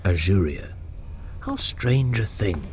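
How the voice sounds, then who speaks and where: A man's voice: low voice, big pitch swings, takes it slowly, a little mellow, slight background noise, exaggerated voice. An actor playing a witty male chamberlain who teases and laughs often. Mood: wry. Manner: teasing, wry, laughs often. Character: male chamberlain, witty courtier, playful and teasing. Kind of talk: audio drama acting.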